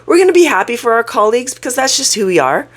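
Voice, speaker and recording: A woman hosting her own channel, sounding croaky from a cold as she talks through a topic in a casual, earnest, self-reflective way. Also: fairly high pitch, big pitch swings, fast. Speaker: YouTube channel host. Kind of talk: casual talking-head explainer.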